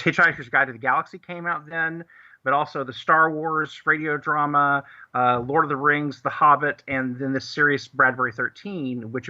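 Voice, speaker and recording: A man being interviewed on the radio as a guest, explaining things in a halting, casual way. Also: mid-range voice, bright, forceful tone, dull audio. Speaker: guest, audio drama producer and writer.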